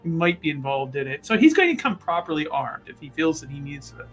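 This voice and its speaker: A man's voice: fairly high pitch, big pitch swings, brisk, a bit bright, soft background music. A man playing a tabletop roleplaying game, casually improvising a description of his character in a rambling, hesitant way.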